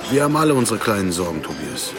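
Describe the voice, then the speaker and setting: An actor playing a quietly menacing crime boss in a radio drama, unhurried and ironic. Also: male voice, lowish voice, very animated. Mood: amused, superior. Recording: music clearly behind the dialogue.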